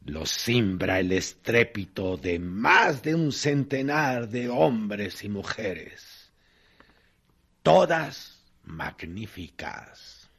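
A man narrating a radio drama in a solemn, deliberate literary style with dramatic pauses. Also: on the low side, sing-song, vocal fry, fairly bright tone, slightly rough.

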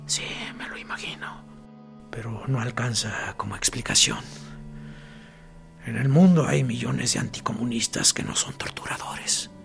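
An actor playing a dead male prisoner in a radio drama, a calm, mocking voice that speaks in a gravelly half-whisper with dry sarcasm. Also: mid-range voice, unhurried, soft background music. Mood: sardonic. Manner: dry, sardonic, probing.

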